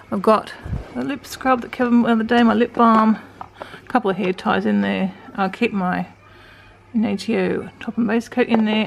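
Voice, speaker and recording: An Australian woman in her forties with a croaky voice, talking casually and wearily through her day at home in a vlog. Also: on the high side, slight background noise, rough recording. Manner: tired, rambling, self-talking. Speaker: vlogger. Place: indoors at home.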